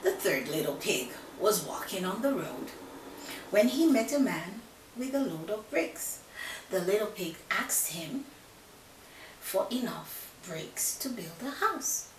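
Slow, animated woman storyteller. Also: mid-range voice. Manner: dramatic, with hushed phrases. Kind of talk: expressive read-aloud for children. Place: small room indoors. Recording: roomy, distant mic, faint noise.

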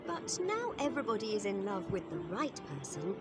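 A woman narrates a storybook tale with very lively, swooping intonation. Her delivery is clear and expressive, and loud music plays behind her. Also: medium pitch, fairly quick. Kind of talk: scripted storybook narration for language learners. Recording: music under the voice.

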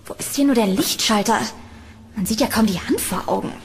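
Young student, played by a woman: high voice, expressive, horror radio play, faint ambience behind. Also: a little fast, slight background noise, close to an everyday voice. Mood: puzzled, drowsy. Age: young adult. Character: chatty, upbeat young female student. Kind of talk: German audio horror drama acting.

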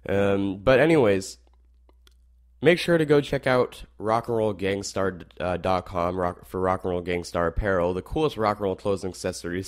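A teenage boy hosting a rock radio show, slightly hoarse, wrapping up with rambling asides and many fillers. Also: low-pitched. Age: teens. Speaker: radio host. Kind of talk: casual show wrap-up.

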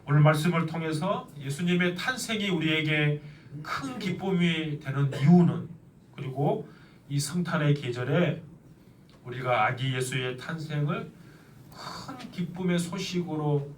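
A middle-aged man preaching, his slightly high voice a little nasal from a cold. He speaks earnestly, stretching key phrases slowly for weight. The sound is roomy and set back from the mic in a church, with faint background noise. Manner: earnest, with slow emphatic stretches. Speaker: pastor. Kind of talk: sermon. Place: church sanctuary. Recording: echoey church, mic at a distance.

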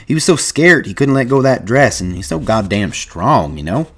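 A man doing a gruff, anxious young ranch hand. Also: medium pitch, very animated, normal speed, fairly bright tone, pitched above the performer's own voice, heavily acted. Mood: worried. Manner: rough rural drawl, earnest, gruff.

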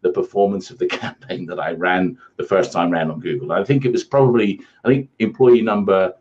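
A man's voice: low-pitched, brisk, a little mellow, muffled recording. A British man in his forties telling his career story in a rambling, self-deprecating way, over a video call from a home office. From England. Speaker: guest, ad agency founder. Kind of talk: casual interview storytelling. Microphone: earphone headset on video call.

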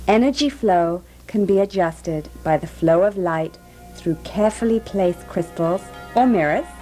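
A woman, a healthcare practitioner, giving calm, measured, scripted narration for a documentary. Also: on the low side, soft background music, rough recording.

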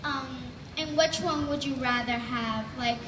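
Nervous woman fan, high and slow; echoey PA from afar, crowd. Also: background noise. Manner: halting, stumbling over words. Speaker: fan asking a question. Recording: echoey PA from far off with loud crowd.

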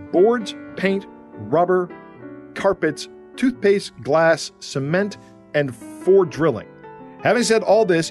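A man's voice: medium pitch, close to an everyday voice. A man reading as a formal letter-writing scammer.

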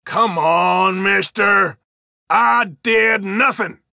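An actor playing an irritable, wary Old West outlaw in a video game, with a rural American drawl and a folksy, sardonic, defensive manner. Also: male voice, high voice, very slow, creaky, pushed and bright, no room sound, clean studio sound, heavily acted. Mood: irritated. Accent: American, rural Western drawl. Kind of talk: game voice lines, short barks.

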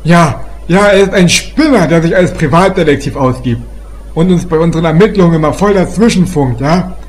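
A jovial, pushy, portly police official, acted by a man in a comedy radio play. He talks in a chummy, insistent way with a rather high male voice. There is faint noise behind him. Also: expressive, brisk, natural voice. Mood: eager. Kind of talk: amateur comedy audio drama.

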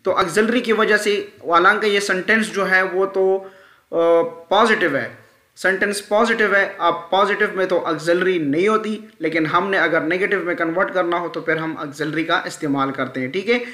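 A man's voice: high-pitched, fairly even intonation, normal speed, sharp tone. A man teaching a grammar lesson in an explanatory style, repeating key terms and often checking in with the listener. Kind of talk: explanatory screen-recorded lesson. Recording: echoey room sound.

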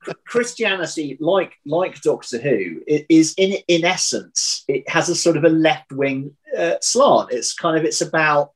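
A man's voice: a little high, measured pace, poor audio. A man in his thirties chatting casually as an interview guest on a video call, hesitant, often restarting and repeating words as he thinks aloud. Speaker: interview guest, audio drama writer. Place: home room, indoors. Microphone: computer video call.

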